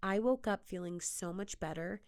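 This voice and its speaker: Nasal, sniffly young woman chatting. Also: medium pitch. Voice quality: a little nasal. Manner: chatty, playful and bubbly, with quick asides. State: congested and sniffly from a cold. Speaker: podcast host. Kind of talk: casual solo podcast chat.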